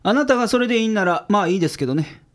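A man acts a grumbling private detective in a radio drama. He has a Kansai accent and a fairly high male voice. His delivery is blunt and dry, with a sardonic edge. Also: expressive, very fast. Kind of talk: audio drama acting.

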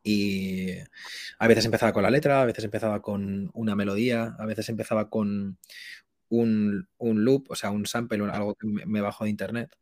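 A young man with a slightly low voice talks casually as a guest in an interview. He thinks aloud in a halting, colloquial way, often rewording his phrases as he goes. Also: steady tone, a little mellow, dry recording, clean studio sound. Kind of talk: casual chat.

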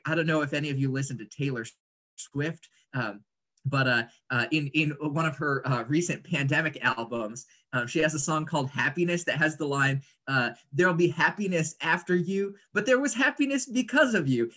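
A man, talking reflectively as an interview guest, articulate and often pausing mid-sentence to pick his words. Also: fairly high pitch, a bit bright. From Indonesia, grew up there as an American third culture kid. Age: 30s. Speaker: interview guest, writer and speaker.

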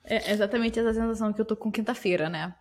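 A woman's voice: mid-range voice, fast talker, slight background noise. A young woman with a Brazilian Portuguese accent co-hosting a casual podcast, teasing her partner and laughing easily. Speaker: podcast co-host. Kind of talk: casual chat.

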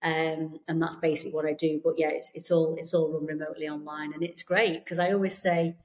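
A British woman from the UK, a therapist guest chatting on a podcast over a remote call, warm and reassuring with many fillers and restarts. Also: deep voice, little pitch movement, brisk. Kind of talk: casual interview chat.

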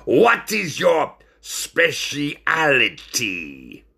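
A man voicing a bombastic, quick-tempered storybook circus ringmaster, a grandiose, bellowing villain. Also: mid-range voice, huge pitch swings, bright, forceful tone, husky, voice pushed up, full character voice. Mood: impatient, scornful.

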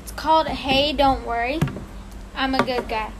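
A woman with a high voice reads a story aloud in a loose, casual way, often stumbling, restarting and apologizing. A steady hiss of noise sits under the recording. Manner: halting, apologetic, laughs at her own slips. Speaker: podcast co-host reading a story.

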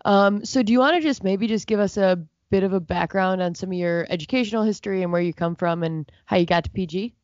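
A woman's voice: mid-range voice, fast, few pauses, darkish tone, no echo. A female radio co-host who chats in a friendly way and teases a little. Her voice sounds dry and close, with no room around it. The audio is dull and lo-fi, as over a remote connection. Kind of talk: casual interview chat.

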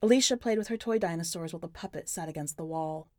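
A woman's voice: fairly low pitch, fast, mellow, no echo. Woman narrating a dramatic story, dry recording. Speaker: podcast editor reading a short story.

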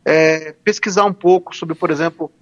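Higher-voiced law professor, lo-fi sound. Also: male voice, fairly even intonation, normal speed. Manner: informal, hesitant restarts. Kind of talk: casual radio chat.

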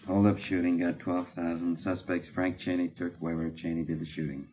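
An actor playing a stern, demanding police captain in a 1950s radio drama, brisk and authoritative. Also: male voice, deep voice, level tone, close to an everyday voice. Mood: probing. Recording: muffled, faintly noisy old radio recording.

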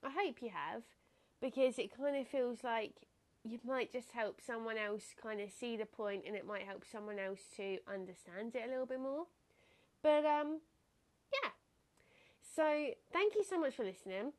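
A young woman talks alone into a podcast mic, her voice pitched a little high and a bit nasal, as if stuffed up. She muses casually and reflectively and breaks into laughter easily. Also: normal speed. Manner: chatty, reflective, laughs often. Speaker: podcast host. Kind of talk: casual solo podcast talk.

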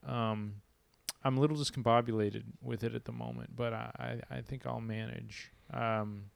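A man's voice: mid-range voice, fairly even intonation, normal speed, gravelly, no room sound. A young man hosting a casual podcast on a handheld mic, rambling with restarts and casual swearing while addressing listeners. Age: young adult. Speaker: podcast host. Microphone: handheld mic with pop filter.

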